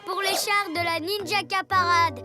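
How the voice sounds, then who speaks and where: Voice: very high voice, steady tone, unhurried. An actor playing a boastful, taunting villain in a children's cartoon. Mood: gloating. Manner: mocking, boastful. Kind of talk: animated children's series dubbing. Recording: loud music behind the voice.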